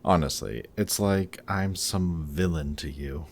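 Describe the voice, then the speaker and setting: A man plays a villain with a low-pitched voice in an intimate audio roleplay. He is dry and sarcastic, teasing casually while keeping his guard up and caring only grudgingly. Some noise sits behind the voice. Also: unhurried. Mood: dry, exasperated.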